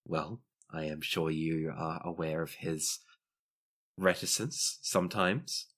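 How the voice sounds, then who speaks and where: A man's voice: a bass voice, slow, a bit bright, exaggerated voice. A man voicing a gracious young princess in a tabletop game, sincere and formal in courtly phrasing. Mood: courteous, earnest.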